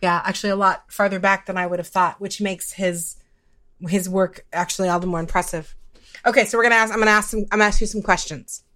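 Mid-pitched woman interviewing casually. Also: steady tone, fast, fairly bright tone. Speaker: podcast interviewer.

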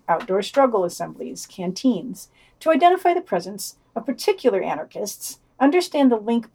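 Woman, podcast host, very animated pitch, scripted reading, wry asides.

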